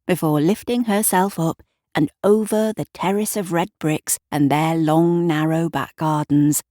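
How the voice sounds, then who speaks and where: Slow, low-voiced woman narrating a story. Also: no room sound, studio quality. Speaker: author and narrator of an audio drama. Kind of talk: scripted literary story narration.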